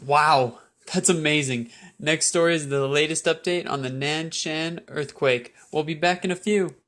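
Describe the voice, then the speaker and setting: Young man acting as high-voiced 1920s radio host. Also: a little slow, a bit bright. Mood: admiring. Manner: showy announcer phrasing with period slang. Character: upbeat 1920s male radio news host. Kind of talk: scripted 1920s radio news show acting.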